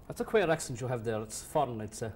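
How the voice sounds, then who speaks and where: A man's voice: medium pitch, expressive, fast talker, a little mellow, slight background noise, close to an everyday voice. A man playing a crude, patronizing small-town boss, rambling and folksy. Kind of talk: comedy sketch acting.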